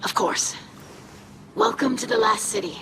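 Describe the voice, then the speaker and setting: An actress voicing a polite, eager young alien scribe in a video game, speaking formally and precisely. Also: female voice, fairly high pitch, wildly swooping pitch, unhurried, vocal fry, background noise, heavily acted. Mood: earnest, hopeful. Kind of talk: video game cutscene acting.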